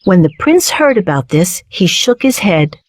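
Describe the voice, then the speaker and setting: A woman reading a children's storybook as narrator, with measured, clearly phrased delivery. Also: on the low side, big pitch swings, dry recording.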